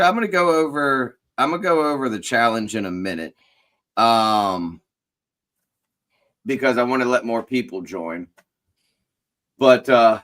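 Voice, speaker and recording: A man's voice: medium pitch, a bit bright. A man hosting a casual live chat and leading a prayer, talking in a chatty, informal, rambling way while sniffling a bit. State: sniffling, stuffy. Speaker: host of a business owners' live chat.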